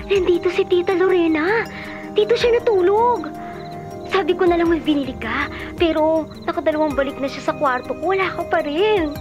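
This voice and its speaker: A woman playing an excitable, nagging best friend in her twenties, in an exclamatory, theatrical radio drama style. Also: very high-pitched, moderate pace. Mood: exasperated. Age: 20s. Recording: music behind the voice. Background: music.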